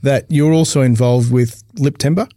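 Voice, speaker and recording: A middle-aged man with an Australian accent chats as an interview co-host. His voice is dark and mellow at an ordinary male pitch, recorded very dry. He talks informally and colloquially. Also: normal speed, no echo. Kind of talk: casual conversational interview.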